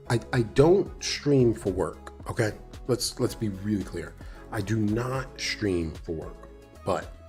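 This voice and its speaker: A man's voice: low voice, a little mellow. A man hosting a casual live stream, slightly nasal, talking enthusiastically and directly to viewers in a rambling way that repeats phrases. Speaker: live stream host, developer advocate.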